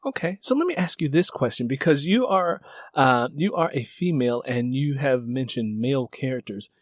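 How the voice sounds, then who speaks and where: A man's voice: medium pitch, lively intonation, moderate pace, no echo, studio quality. A man hosting a casual interview, repeating and restating his guest's answers as he asks questions.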